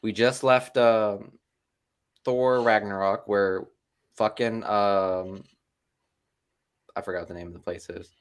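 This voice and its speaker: A man co-hosting a casual movie podcast, relaxed and profane, searching for words with frequent hesitations. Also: lowish voice, slow, long pauses, clean tone. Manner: casual, swears freely, hesitant with many "uh"s. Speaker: podcast co-host. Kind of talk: casual chat.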